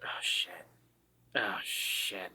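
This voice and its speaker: Piercingly bright-voiced man at the game table. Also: sits low, steady tone, extremely slow, slight background noise. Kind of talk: casual improvised roleplay.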